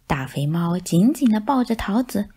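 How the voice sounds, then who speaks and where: A woman narrating a children's picture book in an expressive storytelling style. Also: medium pitch, moderate pace, breathy edge.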